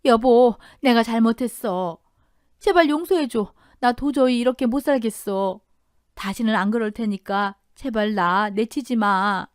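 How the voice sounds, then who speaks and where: A woman's voice: on the high side, unhurried, clean tone, no room sound, studio quality, lightly acted. A woman voicing a disgraced older wife who begs for forgiveness in a pleading wail in an audio drama. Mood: desperate pleading. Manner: pleading, wailing.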